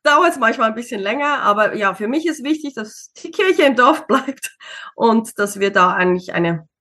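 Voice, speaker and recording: Chatty woman, slightly high voice. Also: lively intonation, normal speed, pushed and bright. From Switzerland. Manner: chatty, many fillers, laughs easily. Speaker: interview guest, single mother. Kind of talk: casual conversational interview.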